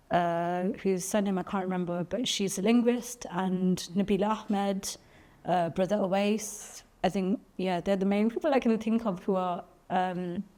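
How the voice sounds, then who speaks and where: A woman poet, answering interview questions thoughtfully and pausing often to find her words. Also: medium pitch, dark tone. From Birmingham, England. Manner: thoughtful, searching for words with frequent ums and restarts.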